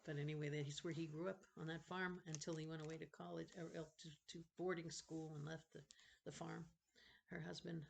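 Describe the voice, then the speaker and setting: A woman's voice: sits low, little pitch movement, a little fast, gravelly, a little hiss or hum. Older woman, read-aloud storyteller, children's novel, chatty rambling asides, self-corrections.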